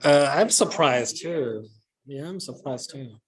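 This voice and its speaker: Halting middle-aged man. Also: medium pitch, animated. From China. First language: Chinese. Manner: halting, many pauses and restarts. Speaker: guest speaker. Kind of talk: answering audience questions.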